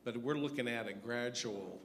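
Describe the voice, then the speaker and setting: A man, a state legislator, giving a halting, hedging answer at a public forum. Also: medium pitch, fairly bright tone. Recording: reverberant hall with faint background noise.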